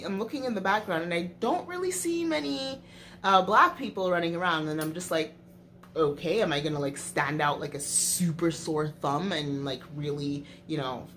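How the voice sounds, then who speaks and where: A woman's voice: fairly low pitch, fairly bright tone, slight background noise. A young woman vlogger talking casually to camera, hesitant, with many fillers and restarts, speaking as a native English speaker who learned the language later. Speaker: travel vlogger.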